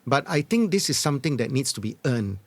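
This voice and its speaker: A middle-aged man answering interview questions as an experienced business leader, in measured, earnest, short deliberate phrases. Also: medium pitch, sing-song. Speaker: entrepreneur and former corporate leader, interview guest. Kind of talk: reflective conversational interview answers.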